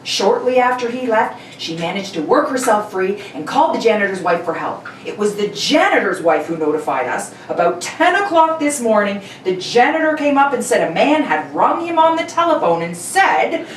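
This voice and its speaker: A woman's voice: fairly high pitch, expressive, normal speed, hardly any pauses, close to an everyday voice. Woman playing a earnest cop giving a report, old radio play, set back from mic, faint noise. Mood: businesslike. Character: brisk, earnest police detective reporting to the chief. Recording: roomy, distant mic, background noise.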